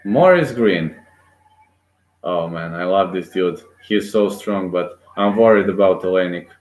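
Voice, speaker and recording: A man giving live play-by-play commentary on a fight, excitable and cheering aloud, with frequent fillers and restarts. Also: deep voice, a little slow. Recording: echoey room.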